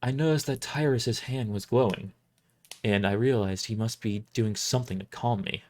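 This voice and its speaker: A narrator reading a first-person fantasy audio drama in a deliberate, emotive way. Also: mid-range voice, a little mellow, a little hiss or hum.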